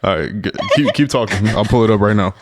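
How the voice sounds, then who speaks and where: A man's voice: lowish voice, fast talker, slight background noise. A man co-hosting a casual podcast, teasing and joking with his co-host. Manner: teasing, jokey. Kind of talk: casual chat.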